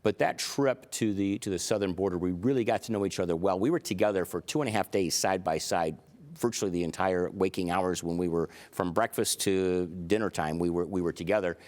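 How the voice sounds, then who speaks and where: A man speaks low and steady. He answers as a county sheriff on a TV interview, earnest and emphatic, with frequent fillers. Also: brisk. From United States.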